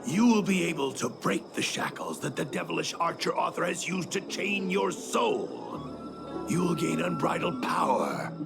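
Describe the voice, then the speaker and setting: A man acting as a zealous cult disciple and former spearman in a video game, with a gravelly voice and deliberate, solemn, theatrical delivery. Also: on the high side, big pitch swings, full character voice. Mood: fervent, reverent. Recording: loud music under the voice.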